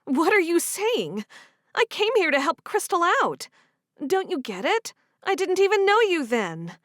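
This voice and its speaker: A woman's voice: big pitch swings, moderate pace, fairly bright tone, dry recording, studio quality. A woman playing a bold, defensive young woman. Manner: pleading, high and emphatic.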